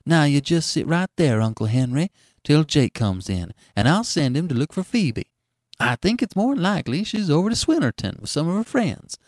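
Guy doing an old american country lady, motherly n fussy, pitched up, super lively, folksy. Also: normal speed, no room sound, studio quality. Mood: soothing. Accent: rural American. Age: older. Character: stout, kindly, fussing old farm woman.

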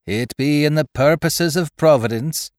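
A man's voice: a little high, unhurried, no echo, studio quality, pitched above the performer's own voice, natural voice. A man voicing a murderous ship's gunner who speaks in a whining, pious tone.

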